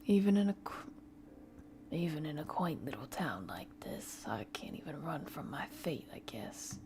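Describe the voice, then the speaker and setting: A woman voicing a weary, grumpy male investigator in a visual novel, hushed, reluctant and curt. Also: low voice, very animated, normal speed, background noise, pitched below the performer's own voice. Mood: weary brooding. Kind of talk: visual novel character reading.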